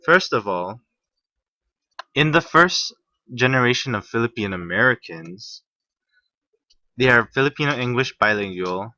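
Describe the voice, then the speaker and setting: A young man from Hawaii reading a class presentation, halting at times with restarts. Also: fairly low pitch, sharp tone, no room sound. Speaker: student presenter. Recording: muffled, slightly lo-fi.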